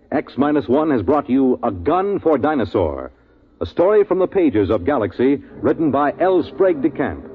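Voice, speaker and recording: An American man announcing in a formal, measured 1950s network radio style, reading scripted credits. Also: mid-range voice. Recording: tinny vintage 1950s radio broadcast.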